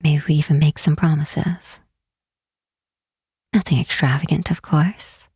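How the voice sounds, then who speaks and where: Breathy, whispering low-voiced demon queen played by a woman. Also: steady tone, dry recording, clean studio sound, exaggerated voice. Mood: gentle, musing. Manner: unhurried, hushed, tenderly reassuring. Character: gentle, wistful demon queen, tender with her companion. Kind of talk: soft ASMR roleplay, intimate and slow.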